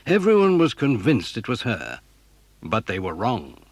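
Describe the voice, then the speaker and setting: Animated English man narrating, dry sound. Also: lowish voice, measured pace, dry recording. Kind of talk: scripted storybook narration.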